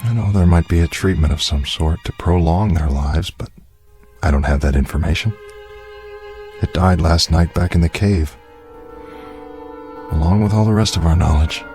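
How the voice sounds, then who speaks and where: An actor playing an earnest male survivor who pleads with a crowd and speaks gravely in a radio drama. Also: low-pitched, normal speed, pauses often, mellow, natural voice. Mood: weary. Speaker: keeper of the cave's secret. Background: music score.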